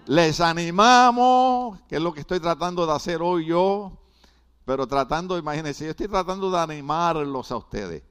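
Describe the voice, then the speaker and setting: Older Puerto Rican pastor preaching. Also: male voice, fairly high pitch, lively intonation, moderate pace, clear, resonant tone. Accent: Puerto Rican Spanish. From Puerto Rico. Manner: preaching with rhetorical questions to the congregation; builds to loud, emphatic climaxes. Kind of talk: sermon.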